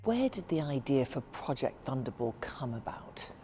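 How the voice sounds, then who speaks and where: Low-voiced woman TV reporter. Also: big pitch swings. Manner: measured, polished delivery. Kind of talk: broadcast narration and interviewing. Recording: narration over background music.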